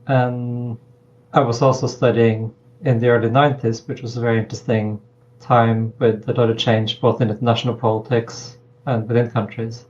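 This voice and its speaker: A middle-aged man from Norway, a political science professor, answering interview questions in a measured, hesitant way with many pauses. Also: medium pitch, flat delivery, a little mellow, muffled recording. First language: Norwegian. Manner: measured, hesitant with frequent ums.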